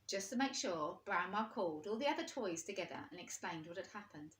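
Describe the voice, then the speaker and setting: A woman reads a children's story in a very animated, expressive way, as a teacher would. Her pitch is mid-range and moves freely. The room adds a noticeable echo. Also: a little hiss or hum. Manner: expressive, pauses to ask listeners questions. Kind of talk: storybook read-aloud for young children. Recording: roomy, echoing indoor sound.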